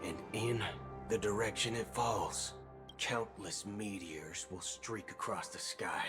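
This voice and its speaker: An actor playing a grand, stoic space ranger in a video game, speaking in solemn, measured declarations. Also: male voice, on the low side. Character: stoic adult male galaxy ranger, grandly heroic. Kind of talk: scripted video game acting. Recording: game audio over loud music.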